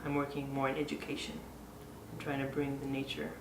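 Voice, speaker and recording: Man, reflective personal talk, pausing often, distant mic, echoey. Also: mid-range voice, monotone, background noise. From Oregon and Costa Rica. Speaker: artist and educator.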